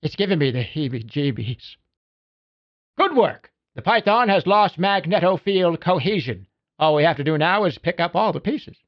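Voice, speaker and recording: A man's voice: a little high, very animated, normal speed, highs cut off. A man playing a cocky, folksy video game mechanic who briefs a pilot with slangy wisecracks. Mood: relieved, pleased. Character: cocky, folksy spaceship mechanic who babies his mech suit. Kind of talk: video game character voicelines.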